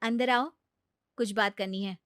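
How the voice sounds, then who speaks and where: A woman voicing a worried, outspoken young wife who argues and scolds in an animated Hindi moral story. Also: medium pitch, unhurried, clean tone, dry recording, clean studio sound, close to an everyday voice.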